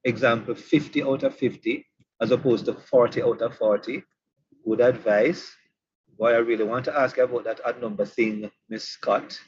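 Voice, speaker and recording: A man's voice: medium pitch, steady tone, moderate pace, darkish tone, highs cut off, a little hiss or hum. Man hosting an online teachers' session. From Jamaica. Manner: chatty, teasing, repeats points. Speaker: webinar host. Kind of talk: online professional development session.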